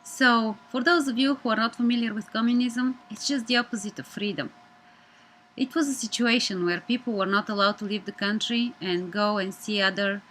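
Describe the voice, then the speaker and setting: A woman in her thirties from Bulgaria, speaking English with a Bulgarian accent, giving an earnest, measured personal presentation as a media student. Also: mid-range voice, fairly bright tone, slight background noise, low-quality recording.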